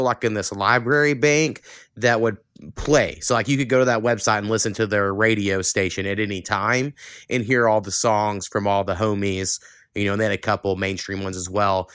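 Male podcast host, lowish clean voice, dry close sound, informal slangy chat. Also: a bit bright, clear, resonant tone, no room sound. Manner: informal, occasional swearing, slangy.

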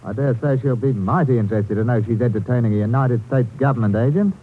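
A man's voice: mid-range voice, fast talker, faint music behind, natural voice. A man playing a seamy, sneering small-time crook with a mock-genial manner in a 1950s radio drama. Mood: smug, menacing. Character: seamy, gloating little male small-time crook with gun. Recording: tinny, slightly noisy old radio recording.

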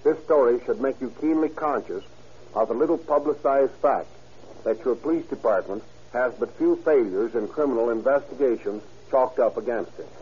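A man with a husky voice giving a formal scripted 1930s radio introduction as a police official. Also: medium pitch, normal speed, a little mellow, a little hiss or hum. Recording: dull vintage radio recording.

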